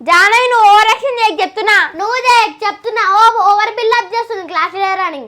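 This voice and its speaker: A young girl acting in a comedy skit as a bossy class leader, scolding her classmates with quick retorts. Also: very high-pitched, sharp tone.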